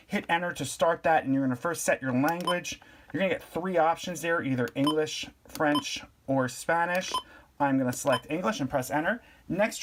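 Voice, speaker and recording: A man's voice: on the high side, slight background noise. Plainspoken man explaining step by step. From Pennsylvania. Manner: relaxed, plainspoken, step-by-step instructions. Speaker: product reviewer and tutorial host. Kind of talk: casual how-to demonstration.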